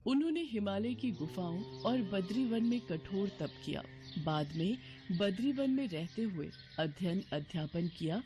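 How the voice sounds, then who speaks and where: A woman narrating a scripted devotional story as a storyteller. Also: medium pitch. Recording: music bed behind voice.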